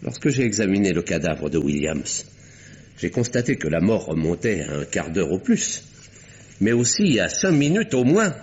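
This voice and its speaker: An actor playing a calm doctor-detective who exposes a murderer in an old radio drama, speaking in a measured, pointed way with dramatic pauses. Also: male voice, sits low. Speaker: Doctor Westlake. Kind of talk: 1950s radio drama acting. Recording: dull old radio recording.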